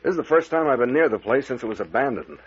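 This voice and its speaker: A brash radio captain, muffled recording. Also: male voice, mid-range voice, lively intonation, quick pace, slightly rough. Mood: wry, bold. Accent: American. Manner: hard-boiled, slangy, exclaiming. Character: brash, fearless captain investigating murders. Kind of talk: old-time radio mystery serial acting.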